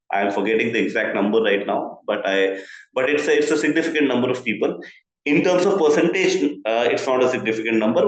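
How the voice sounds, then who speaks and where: A man with an Indian accent explains policy as a journalist, in a deliberate, explanatory manner with rhetorical check-ins. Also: medium pitch. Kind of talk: explanatory news analysis. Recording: very echoey, reverberant room.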